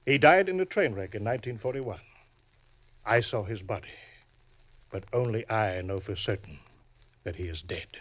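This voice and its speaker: A man plays a smooth, crafty swindler, an older uncle in a vintage radio crime play. He talks low and deliberately, polishing every euphemism. The recording is dull and lo-fi. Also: lively intonation, lightly acted. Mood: sly, measured. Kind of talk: old-time radio crime drama acting.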